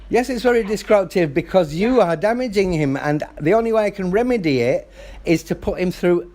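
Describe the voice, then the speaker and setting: A father on a phone call, arguing in a loud, insistent, lecturing way with the phone held close to his mouth. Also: high voice, animated, brisk. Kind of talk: heated phone argument.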